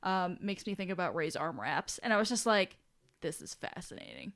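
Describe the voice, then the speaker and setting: A woman's voice: on the low side, very animated, moderate pace, a bit bright. A woman co-hosting a podcast in casual chat, with a giggly, irreverent manner and loose, casual swearing. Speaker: podcast co-host reading listener questions.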